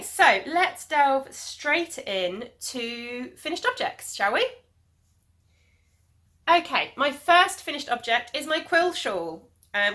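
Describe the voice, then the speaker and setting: A woman in her thirties talks casually to the camera at home, rambling and filling pauses with ums. Her voice sits a bit high and rings bright and clear, with a slight sniffle from hay fever. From England. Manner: rambling, chatty, many ums. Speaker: knitting podcast host. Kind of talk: casual video podcast chat.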